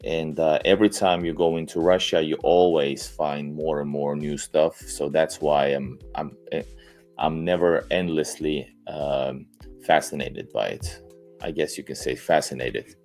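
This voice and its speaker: A man's voice: extremely low pitch, fairly even intonation, a little slow, darkish tone, soft background music. A man hosting a casual live stream, talking hesitantly with many fillers and restarts. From Finland.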